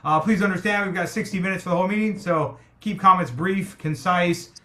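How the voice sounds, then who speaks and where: A man's voice: high-pitched, brisk, bright, forceful tone. A man hosting a community town hall on a video call, welcoming people and talking with many ums and uhs. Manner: friendly, filler-heavy, welcoming. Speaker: meeting host. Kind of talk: online town hall moderation.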